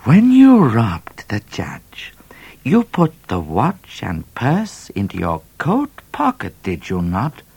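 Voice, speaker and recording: A man's voice: low-pitched, slow, gravelly, few pauses, husky, no room sound, full character voice. Man putting on a fierce scolding Siamese cat. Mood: hushed. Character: fierce Siamese cat, male, sharp and exasperated.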